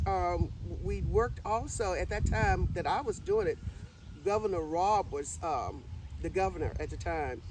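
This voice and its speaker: A woman's voice: lowish voice, darkish tone, poor audio. An older woman speaks as an interview guest, giving a personal account in a conversational style. The recording is outdoors, with faint noise in the background. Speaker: interview guest and event organizer. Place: outdoors on an open lot.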